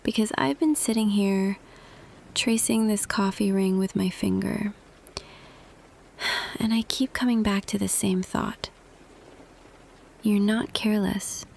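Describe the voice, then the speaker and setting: A woman playing a tender, quietly smitten office boss in an intimate ASMR roleplay, speaking softly and deliberately, often half whispering. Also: mid-range voice, fairly even intonation, gravelly. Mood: hushed, intimate. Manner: slow, hushed, deliberate, pausing. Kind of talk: ASMR roleplay audio, intimate scripted monologue.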